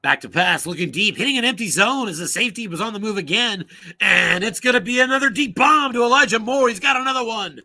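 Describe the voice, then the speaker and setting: A man calling solo play-by-play for a tabletop football game, brisk and rising on big plays, losing his voice and coughing now and then. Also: high voice, lively intonation, pushed and bright.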